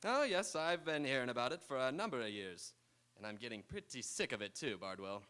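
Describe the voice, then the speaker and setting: A man's voice: mid-range voice, lively intonation, fairly quick, bright, forceful tone, smooth. A man playing a bossy, sardonic, self-important lawyer boss who wisecracks in a stage radio comedy. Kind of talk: 1930s comedy radio play acting. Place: auditorium stage. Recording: live stage radio play.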